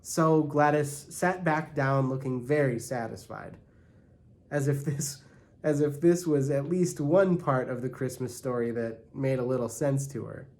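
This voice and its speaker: A man reading a storybook aloud in an animated narrating style. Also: medium pitch, unhurried. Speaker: church staff member reading aloud.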